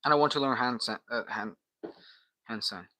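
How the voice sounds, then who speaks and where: A man's voice: mid-range voice, moderate pace, bright, forceful tone, no room sound. A man from Guatemala, a native Spanish speaker, chatting casually on a livestream in a slangy, sweary, bro-heavy way.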